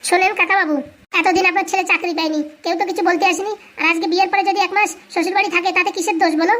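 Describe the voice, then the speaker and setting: A woman's voice: extremely high pitch, steady tone, rapid-fire, a bit bright. A woman playing a bossy, insistent male fixer who reassures everyone in a comic cartoon. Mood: bossy, reassuring. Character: bossy, brisk male fixer arranging a village wedding.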